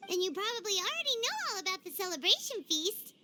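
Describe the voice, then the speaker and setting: Tiny perky fairy sidekick for a game, extremely high and squeaky, very animated, music underneath. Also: female voice, fast talker, background noise. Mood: curious, puzzled. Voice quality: squeaky, childlike. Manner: perky, refers to self in third person. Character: tiny, chirpy, childlike female fairy companion. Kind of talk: animated video game dub. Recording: music behind the voice.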